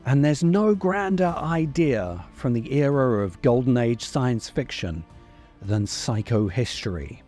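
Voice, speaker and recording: A middle-aged man delivering a scripted video essay in measured, emphatic, dramatic phrases, close to the microphone. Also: mid-range voice, lively intonation, warm tone. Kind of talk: scripted narration, long-form commentary. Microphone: desk microphone close in front. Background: background music.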